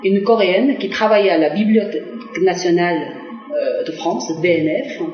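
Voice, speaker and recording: A woman's voice: fairly low pitch, normal speed. Woman diplomat, echoey. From Korea. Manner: hesitant, many fillers and restarts. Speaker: Korean diplomat. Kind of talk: TV interview answers. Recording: echoey, dull lo-fi TV broadcast.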